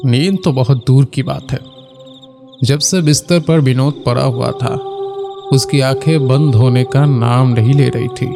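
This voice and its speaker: Slow, gravelly male horror storyteller. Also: mid-range voice, steady tone. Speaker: narrator. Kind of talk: scripted horror story narration. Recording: loud music behind the voice. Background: loud music.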